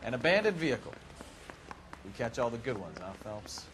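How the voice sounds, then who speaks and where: A man's voice: medium pitch, sing-song, moderate pace, fairly bright tone, a little hiss or hum. An actor playing a world-weary, wisecracking male police detective with a sarcastic manner in a game cutscene. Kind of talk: video game cutscene acting.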